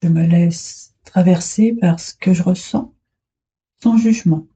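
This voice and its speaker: A woman guiding a relaxation meditation as a yoga instructor, soothing and unhurried, speaking in short phrases with long pauses. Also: fairly low pitch, dark tone, breathy edge.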